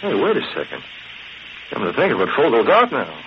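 A thoughtful young male publisher-detective from an old radio serial, inquisitive and composed, with lively intonation. The sound is muffled and clearly noisy. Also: medium pitch, fairly quick. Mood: pondering. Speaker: newspaper publisher hero. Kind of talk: 1940s radio acting.

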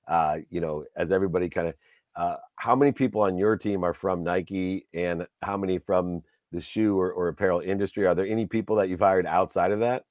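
A man's voice: low voice, fairly quick, darkish tone, no room sound, studio quality. A man hosting a casual interview, talking in an enthusiastic, rambling way and heaping praise on his guest. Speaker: podcast host.

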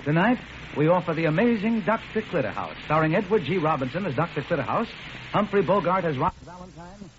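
A man's voice: on the high side, sing-song, fairly quick. A man hosting a scripted 1940s radio show in a jaunty, folksy, slangy announcing style. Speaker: program host and announcer. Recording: muffled, noisy old radio broadcast.

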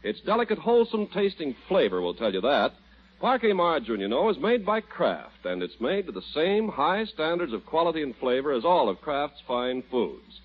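A male radio announcer reading a commercial in a smooth sales pitch, on a muffled old broadcast. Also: sing-song, normal speed.

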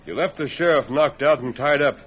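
An American man playing a calm, resolute masked lawman hero in an old radio western, with a crisp, commanding manner. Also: a little high, a little fast. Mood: stern, calm. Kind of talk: 1940s radio western acting. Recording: muffled old radio recording.

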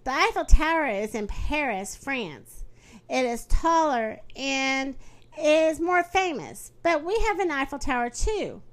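A woman's voice: high voice, lively intonation, slow. A woman voicing a young schoolboy, friendly and matter-of-fact, in a children's storybook reading.

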